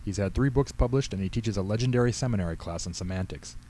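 Storybook pastor, middle-aged man, acted by a male reader: sheepish, earnest, low and very mellow. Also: fast, a little hiss or hum, lightly acted. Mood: earnest, anxious. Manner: mumbling, earnest, embarrassed.

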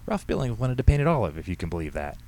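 Man doing a bossy old woman, raised pitch. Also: very animated, fast, warm tone, slight background noise. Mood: huffy. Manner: huffy, scolding. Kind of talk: audiobook character dialogue.

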